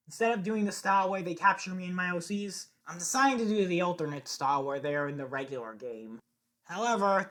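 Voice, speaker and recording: A woman reading scripted lines aloud in a declamatory way, with sing-song emphasis on her phrases. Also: medium pitch. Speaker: amateur animator recording voice lines.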